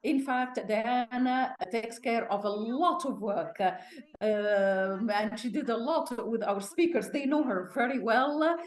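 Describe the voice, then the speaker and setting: A woman hosting an online meeting and introducing speakers, talking haltingly with drawn-out pauses. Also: a little high. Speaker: host, moderator. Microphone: video call.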